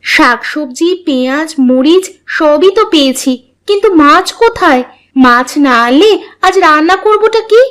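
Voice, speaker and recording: A woman's voice: sits high, animated, normal speed, a bit bright. A woman voicing a proud, plain-spoken poor village wife in a cartoon drama. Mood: questioning, annoyed.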